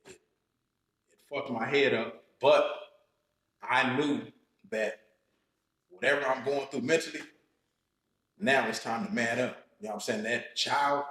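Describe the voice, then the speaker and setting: A man in his thirties, a podcast guest telling his own story in a slow, reflective, casual way, often checking in with "you know what I'm saying". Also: mid-range voice, fairly even intonation, fairly bright tone. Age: 30s. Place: podcast studio room. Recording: roomy studio echo.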